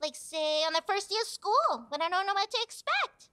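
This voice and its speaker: A woman's voice: very high voice, very animated, normal speed, bright, forceful tone, exaggerated voice. A woman voicing a friendly seven-year-old girl puppet who guides others step by step, on a television studio set. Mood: earnest.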